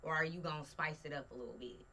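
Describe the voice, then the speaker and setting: A confident, emphatic woman leads a casual panel chat. Her voice sits low for a woman and rings bright and forceful, with a faint hiss behind it. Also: fast. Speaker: host.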